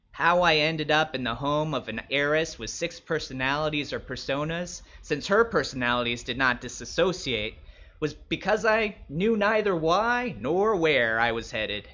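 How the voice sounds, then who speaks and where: A man's voice: medium pitch, sharp tone, muffled recording. A man reading a first-person short story as a wary young male escort of the 1960s, wry and blunt.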